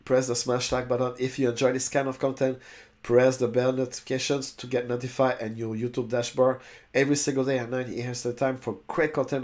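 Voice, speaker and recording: A man's voice: medium pitch, darkish tone. French-native man reading web pages aloud. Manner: stumbles and restarts on long words. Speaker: crypto YouTuber. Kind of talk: reading a website aloud with casual asides. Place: indoors, webcam overlay on screen share.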